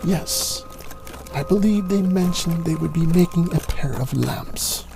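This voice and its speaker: Slow, creaky, suave devilish villain. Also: male voice, fairly high pitch, music in the background. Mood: amused, condescending. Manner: theatrical, drawling, mock-courteous. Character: suave devilish gentleman villain, sly and falsely kindly.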